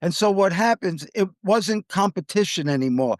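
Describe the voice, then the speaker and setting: A man's voice: sits high, expressive, normal speed, dry recording, studio quality. An American man hosting a podcast, giving conversational commentary on the news. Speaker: podcast host.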